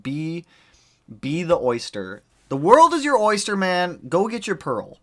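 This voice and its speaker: A man's voice: high voice, huge pitch swings, a little slow. A man hosting a casual livestream, chatting with viewers and rambling from one topic to the next. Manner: rambling, jumps between topics. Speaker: livestream host. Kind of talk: casual chat answering viewers.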